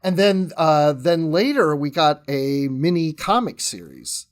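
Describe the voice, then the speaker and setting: A man's voice: a little high, sing-song, unhurried. A man chatting casually as a podcast guest, thinking aloud as he goes. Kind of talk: casual chat, discussion.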